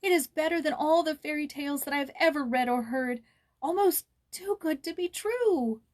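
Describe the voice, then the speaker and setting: A woman's voice: sits high, moderate pace, pitched above the performer's own voice, lightly acted. A woman voicing a delighted, dreamy, wide-eyed young girl in a children's storybook reading.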